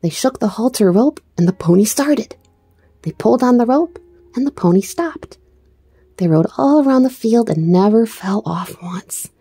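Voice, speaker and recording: A woman storyteller giving an expressive read-aloud narration of a children's book. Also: on the high side, moderate pace, breathy edge, no room sound.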